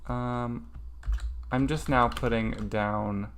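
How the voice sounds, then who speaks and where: A man's voice: mid-range voice, steady tone, takes it slowly, fairly bright tone, a little hiss or hum. A man streaming a casual study session, thinking aloud hesitantly with lots of ums.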